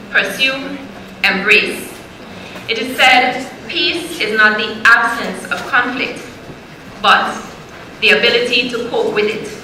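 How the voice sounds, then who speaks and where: A woman hosting an event, delivering a formal welcome address in a measured, rhetorical, ceremonial way. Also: on the high side, sharp tone. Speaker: event host. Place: conference hall. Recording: echoey hall, faint noise.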